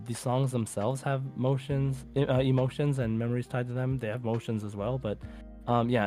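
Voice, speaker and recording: A man's voice: mid-range voice, a little mellow. A man hosting a podcast, chatting informally and conversationally about a video game. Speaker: podcast host.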